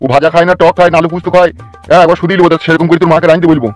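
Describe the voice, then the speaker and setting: A man's voice: on the high side, flat delivery, rapid-fire, faint music behind, natural voice. A man voicing a genial, fussy middle-aged village father in a comedy cartoon, chatty and insistent.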